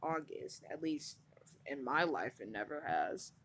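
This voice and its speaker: A woman's voice: a bass voice, big pitch swings, unhurried, fairly bright tone, a little hiss or hum, exaggerated voice. A woman playing a flustered, weary news anchor in an amateur audio drama, talking in a blunt, informal, rambling way. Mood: weary, complaining. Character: overheated, frank, swearing female TV news anchor, flustered and weary.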